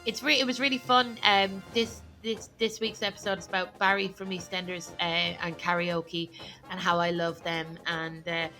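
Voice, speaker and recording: A woman's voice: on the low side, little pitch movement, moderate pace, sharp tone, faint music behind. A woman comedian guest chatting casually on a podcast, giggly and rambling with lots of fillers.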